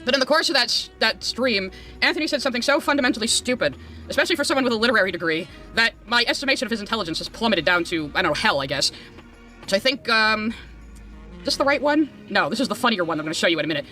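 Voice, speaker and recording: Casual commentator, lively swooping pitch, sharp bright tone, sardonic, exasperated, animated storytelling. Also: very fast, faint music behind. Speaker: video commentator.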